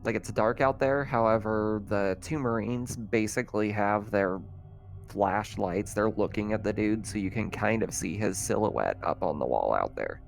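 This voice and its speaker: A man's voice: lowish voice, normal speed, vocal fry, faint music behind. A man, working as game master, narrating and refereeing an online tabletop game in casual, colloquial language.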